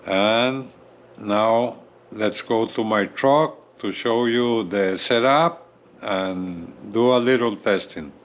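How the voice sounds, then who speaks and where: Male product reviewer, deep-ish voice, unhurried and halting, casual hands-on walkthrough. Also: muffled recording, a little hiss or hum. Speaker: gadget reviewer presenting a CB radio.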